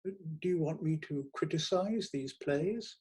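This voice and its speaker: A man voicing a shy, flustered young man in an audiobook, protesting in a timid, quiet, faltering way. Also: fairly high pitch, takes it slowly, pitched above the performer's own voice. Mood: timid, puzzled. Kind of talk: audiobook character voice.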